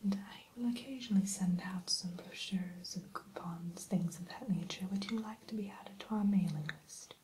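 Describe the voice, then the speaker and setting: A woman playing a polite, primly formal evil queen shopkeeper in a soft, unhurried ASMR whisper. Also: medium pitch, slight background noise, close to an everyday voice. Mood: courteous, businesslike. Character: genteel evil queen running an enchanted mirror shop. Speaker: shopkeeper character in ASMR roleplay.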